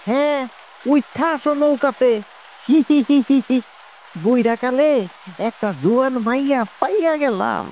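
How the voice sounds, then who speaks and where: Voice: sits high, slow pace, long pauses, darkish tone, muffled recording, slight background noise. A performer playing a callous, scheming old male boatman in a cartoon, speaking a rural Bangladeshi dialect with blunt, mocking delivery. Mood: smug, gleeful. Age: older. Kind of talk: cartoon story dialogue. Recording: voice over music and rain.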